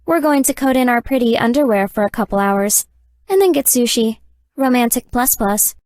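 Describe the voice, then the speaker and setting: A woman voicing a dry, deadpan, nerdy young gamer girlfriend in a dating game. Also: on the high side, moderate pace, no echo, close to an everyday voice. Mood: dry, sardonic. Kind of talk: scripted dating game character dialogue.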